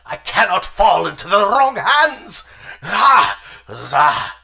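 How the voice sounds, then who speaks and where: A man playing an enchanted talking sword in a theatrical, drawn-out fan audio drama performance. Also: high voice, wildly swooping pitch, creaky, sharp tone, full character voice. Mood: panicked. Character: enchanted talking sword, frantic, then entranced and evil.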